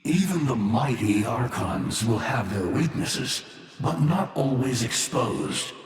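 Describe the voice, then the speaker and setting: A man's voice: medium pitch, lively intonation, slow. A male actor playing an ancient, brooding alien war-being in a video game, grave and scornful, with solemn, portentous delivery drenched in reverb. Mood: grave counsel. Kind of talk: theatrical scripted video game voice acting. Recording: cavernous long reverb, faint background noise.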